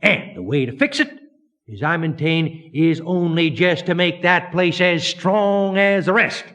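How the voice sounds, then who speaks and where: A man's voice: sits high, unhurried, fairly bright tone, long reverb tail. An American man voicing a plain-spoken, stubborn country deacon, folksy and emphatic, in a verse storytelling. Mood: insistent. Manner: folksy, emphatic, self-assured.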